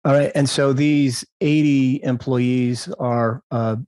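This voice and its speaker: A man's voice: mid-range voice, steady tone, slow, a little mellow, clear, resonant tone, dry recording, studio quality. A man hosting a podcast interview who asks casual questions and stammers a little as he starts them. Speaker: podcast host.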